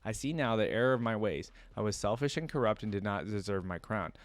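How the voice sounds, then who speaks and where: Man reading as a low-voiced storybook king. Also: fairly quick, no echo, close to an everyday voice. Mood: pleading. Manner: imperious, declamatory. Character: cruel, arrogant king of a starving land.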